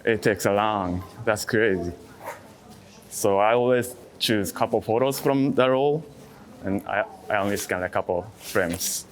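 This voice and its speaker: A man's voice: on the low side, unhurried. A man being interviewed casually about his work, speaking reflectively in short, hesitant fragments with non-native English phrasing. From outside the US. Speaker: street photographer being interviewed.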